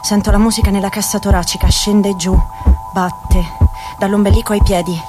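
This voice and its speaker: A woman's voice: mid-range voice, flat delivery, normal speed, close to an everyday voice. An actress playing a naive, broke young mother-to-be, dreamy and stubborn, in a theatrical monologue of short clipped phrases. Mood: numb, intimate. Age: young adult. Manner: recounting in short present-tense phrases. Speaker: stage actress. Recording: music under the voice. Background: stage music.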